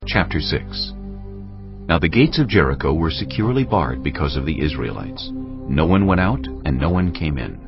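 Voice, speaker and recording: A man's voice: a bass voice, big pitch swings, normal speed, creaky. A man giving scripted narration for a dramatized audio Bible. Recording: dull audio, music underneath.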